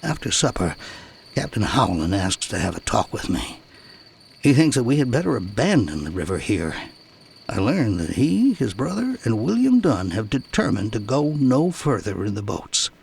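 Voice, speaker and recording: A man narrating an explorer's journal as an audiobook, telling it in a measured, dramatic way with deliberate pauses. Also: on the low side, very animated, gravelly, darkish tone, slightly rough.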